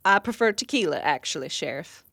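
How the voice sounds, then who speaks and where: A defiant frontier wife out for vengeance in an audio drama. Her voice sits fairly low, recorded very dry, with a bold, sardonic edge. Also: expressive, fairly quick, gravelly, fairly bright tone, dry recording, heavily acted. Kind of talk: western audio drama acting.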